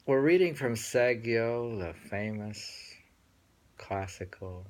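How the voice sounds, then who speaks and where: A man reading poems aloud with casual commentary, musing and halting as he goes and often repeating phrases. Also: medium pitch, expressive, poor audio. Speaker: host reading and discussing poems.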